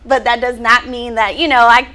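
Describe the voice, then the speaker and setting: A young woman from Houston answers interview questions in an upbeat, casual way, pausing on ums as she thinks. Her voice is bright and a little high. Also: flat delivery. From Houston, Texas. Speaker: rapper and songwriter guest.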